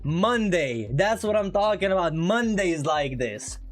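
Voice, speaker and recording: A man's voice: sits high, big pitch swings, unhurried. A man with English as his second language, chatting casually on a live trading stream, laughing often and reading chat aloud. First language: not English. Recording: faint music behind.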